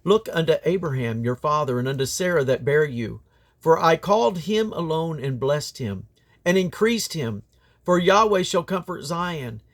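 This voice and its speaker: A man reading scripture aloud in a solemn, declamatory way, with deliberate phrasing in short units. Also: on the high side, lively intonation. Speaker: Bible reader.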